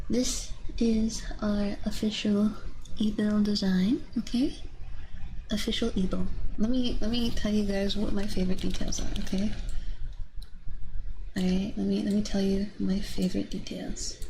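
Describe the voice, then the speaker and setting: A woman livestreamer chatting casually and playfully with her viewers, often softening toward a whisper. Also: medium pitch, slight background noise, poor audio. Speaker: livestreamer, VTuber host.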